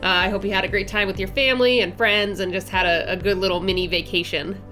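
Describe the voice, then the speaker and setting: A woman's voice: mid-range voice, fairly even intonation, quick pace, pushed and bright, soft background music. A woman in her twenties with a nasal, stuffy voice from a head cold, chatting casually and upbeat as she talks through her drawing process, with lots of fillers and restarts. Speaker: art channel host. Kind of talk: casual art vlog narration.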